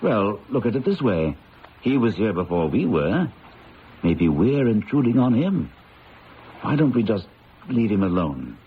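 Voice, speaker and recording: A man's voice: on the low side, big pitch swings, unhurried, long pauses. An actor playing a breezy, unruffled skeptical man with a wry, reasoning manner in a radio drama. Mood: calm, skeptical. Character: breezy skeptical man who scoffs at ghosts. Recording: dull lo-fi old radio, faint noise.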